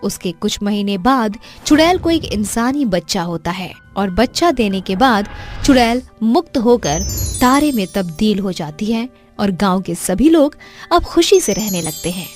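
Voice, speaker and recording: A woman's voice: medium pitch, lively intonation, measured pace, a little mellow. A woman narrating a scripted story as a storyteller. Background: faint music.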